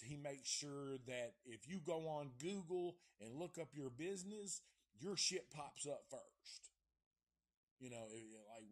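Casual, profane podcast host. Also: male voice, medium pitch, a little mellow. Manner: loose and profane, repeats and restarts words. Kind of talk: casual podcast shout-outs.

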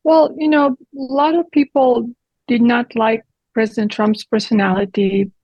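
A woman's voice: fairly high pitch, fairly even intonation, measured pace, no echo, dull audio. A middle-aged woman, a physician giving direct, explanatory answers as an interview guest, with occasional slips in her grammar. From Croatia.

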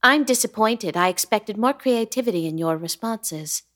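A woman's voice: mid-range voice, lively intonation, a bit bright, close to an everyday voice. An actress playing an unsettling, grandly affected young woman landlady in a horror comedy radio play. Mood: insistent. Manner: lilting, grandly affected, drawn-out words. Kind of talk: radio drama acting.

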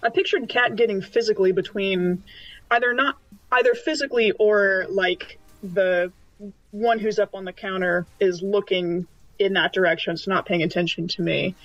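A woman's voice: medium pitch, steady tone, normal speed, fairly bright tone, no room sound. Female roleplaying player, casual and hesitant, mid register, dull dry sound. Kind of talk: casual in-game description and table chat.

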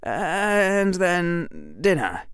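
A man doing a high, flustered boy wizard. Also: wildly swooping pitch, very slow, a bit bright, clear, resonant tone, no echo, clean studio sound, exaggerated voice. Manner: flustered, stammering, blurting.

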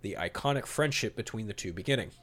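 Male podcast host, lower register, emphatic and opinionated, switching between narration and casual commentary. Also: expressive, fast talker, fairly bright tone. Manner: opinionated, emphatic, mildly profane. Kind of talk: podcast talk mixing read-out storyboard summary and casual commentary.

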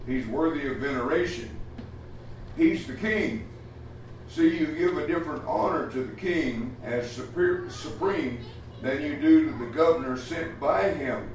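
A man preaching a sermon as pastor, plainspoken and folksy, often pausing with "uh" as he talks. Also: mid-range voice, a little hiss or hum. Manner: plainspoken, folksy, halting with many uh fillers. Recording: echoey room from far off, faint ambience.

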